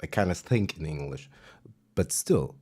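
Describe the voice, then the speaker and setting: A man with a German accent chatting casually on a music livestream, relaxed and self-deprecating, with plenty of fillers. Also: a bass voice, unhurried, husky.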